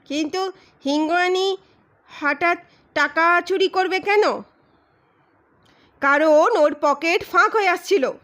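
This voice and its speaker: Woman acting high-pitched, blustering, menacing male detective. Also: slow, pauses often, full character voice. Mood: heated, insistent. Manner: loud, emphatic, declamatory. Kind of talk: dramatized audiobook reading.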